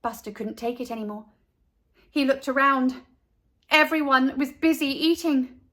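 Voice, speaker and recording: A woman narrating a children's storybook expressively, with deliberate, dramatic pauses. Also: high voice, bright, forceful tone. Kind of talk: expressive children's read-aloud.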